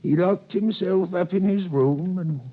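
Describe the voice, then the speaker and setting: A man's voice: high-pitched, unhurried, very noisy. A knowing old townsman. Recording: tinny old radio broadcast.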